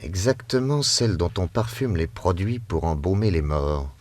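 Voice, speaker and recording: An actor playing a shrewd, cool detective who teases and commands his companion in a radio drama, dropping to hushed tones at tense moments. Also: low voice, lively intonation, moderate pace. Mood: grave. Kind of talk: vintage radio drama acting.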